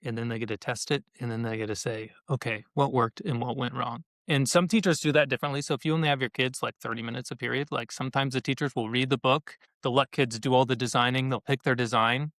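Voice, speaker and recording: A man's voice: mid-range voice, fairly even intonation, fast, hardly any pauses, a bit bright, no room sound. Dry-sounding man chatting as a guest. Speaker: podcast guest, educational activity creator. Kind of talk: conversational interview answers.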